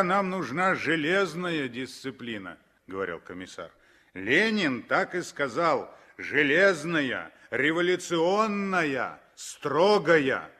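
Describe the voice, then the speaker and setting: Expressive, measured male radio narrator. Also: medium pitch. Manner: dramatic, emphatic storytelling. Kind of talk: 1960s radio drama narration.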